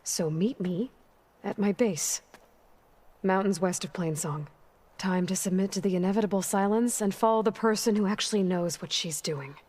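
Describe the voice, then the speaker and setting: A woman's voice: medium pitch, normal speed, a little mellow, natural voice. An actress playing a determined, commanding young warrior woman in a video game, with an American accent and blunt, assertive delivery. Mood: defiant, confident. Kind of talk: video game cutscene acting.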